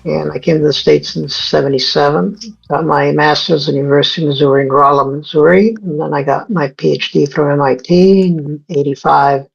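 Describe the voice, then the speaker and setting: A man in his sixties from Egypt speaks as an interview guest, his voice husky with a gravelly edge. He talks in a halting way, full of fillers and self-corrections. The recording sounds dull and lo-fi. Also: fairly high pitch, fairly even intonation. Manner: halting, many fillers and restarts. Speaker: guest scientist. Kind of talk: casual interview talk.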